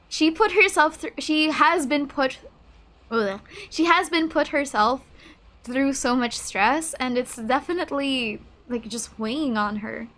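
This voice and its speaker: Girl gamer with a high voice chatting over a playthrough, casual, empathetic reactions. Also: a bit bright. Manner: empathetic, reacts aloud to the story. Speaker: gaming YouTuber. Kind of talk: casual let's-play commentary.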